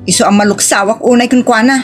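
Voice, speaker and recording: Woman acting as angry high-pitched wife. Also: fairly even intonation, fast, lightly acted. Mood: resentful. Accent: Ilocos. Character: angry wife in a heated household quarrel. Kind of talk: Ilocano audio drama acting. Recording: music under the voice.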